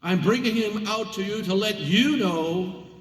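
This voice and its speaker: A man playing a commanding, exasperated Roman governor with loud, theatrical declamation in a dramatic scripture reading. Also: high-pitched, a little slow, reverberant, in a large hall, far from the mic, pitched above the performer's own voice. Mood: proclaiming.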